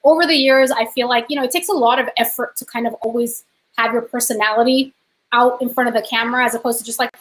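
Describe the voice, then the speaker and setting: A young woman chatting casually on a video call from home, upbeat and animated as she talks about her art business. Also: a little high, fast talker. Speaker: co-host, art business owner. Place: home room. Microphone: webcam on a video call.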